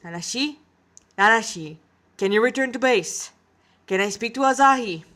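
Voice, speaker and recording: A woman's voice: fairly high pitch, lively intonation, moderate pace, sharp tone. A woman voicing a protective male boss in an audio story, worried but sternly professional. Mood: urgent, stern. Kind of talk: dramatic amateur audio story.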